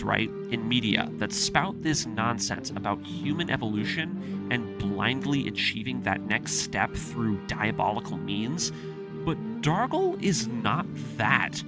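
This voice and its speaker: A man narrating a scripted story recap with personal commentary, in an emphatic, enthusiastic fan manner. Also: on the high side. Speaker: anime video essayist and host. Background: music.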